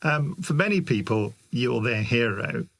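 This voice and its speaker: A man's voice: medium pitch, lively intonation, unhurried, fairly bright tone, no room sound. A British man interviewing conversationally, reading out questions sent in by fans.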